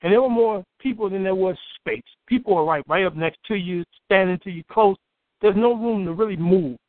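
Booming outspoken man from Chicago. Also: fairly quick, no echo. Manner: outspoken, repeats words when excited. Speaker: guest poet and storyteller. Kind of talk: animated storytelling in an interview. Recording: tinny phone-like line, dry.

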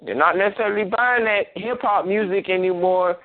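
A man with a fairly high voice talks in loose, rambling runs, circling back and restarting his thoughts as he goes. The sound is thin and narrow, like a phone call. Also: a little fast. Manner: rambling, many restarts and "you know". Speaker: host. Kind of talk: casual talk on a phone line. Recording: tinny phone line.

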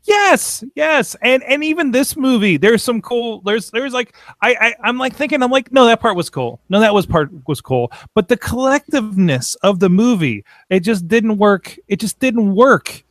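High-pitched male podcast co-host. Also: animated, no room sound. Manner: halting, repeats phrases emphatically. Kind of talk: casual wrestling chat.

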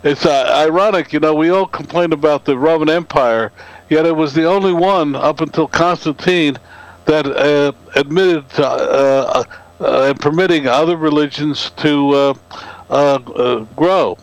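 Halting male talk radio co-host. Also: fairly high pitch, dry recording, poor audio. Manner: halting, many uh fillers.

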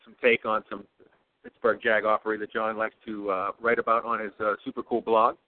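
A male host chatting in an easygoing, halting way with lots of fillers. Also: fairly low pitch, little pitch movement, dry recording. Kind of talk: casual chat. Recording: tinny phone-like sound.

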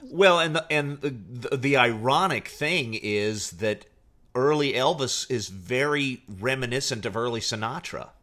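A man's voice: mid-range voice, expressive, a little slow, bright, forceful tone. A man working as a radio interviewer, speaking in a casual, conversational style.

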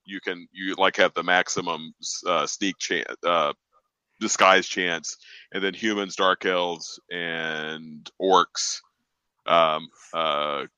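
A man in a casual gaming roundtable chat, a podcast panelist who explains things in a rambling, halting way. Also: low-pitched, pushed and bright, clean tone, no room sound, muffled recording.

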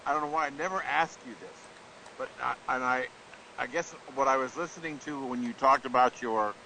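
A man co-hosting a talk show, speaking casually in a halting way with frequent restarts and chuckles. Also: mid-range voice, creaky, sharp tone, a little hiss or hum, low-quality recording. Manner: halting, restarts often, chuckles while talking. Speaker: co-host and columnist. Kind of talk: casual talk-radio chat.

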